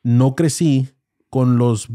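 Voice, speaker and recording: A man's voice: mid-range voice, extremely slow, mellow, no room sound, clean studio sound. Insistent Mexican man arguing hard. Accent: Mexican Spanish. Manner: insistent, argumentative, heavy slang. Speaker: podcast co-host. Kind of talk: heated casual debate. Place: small studio with foam panels. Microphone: studio mic on boom arm, headphones.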